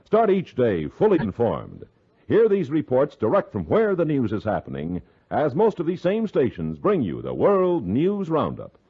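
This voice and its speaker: A man's voice: medium pitch, wildly swooping pitch, normal speed, breathy edge. Swooping, formal American radio announcer, male. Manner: dramatic, formal, emphatic. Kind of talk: 1950s radio announcing, scripted. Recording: muffled old radio, music behind.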